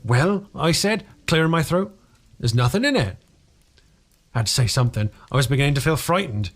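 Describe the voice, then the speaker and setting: Man performing a frightened man, storybook dialogue, insistent, jittery, highly animated, slightly rough tone. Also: a little fast. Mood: nervous. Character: frightened man recounting an uncanny encounter, agitated, insistent. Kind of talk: dramatised audiobook dialogue.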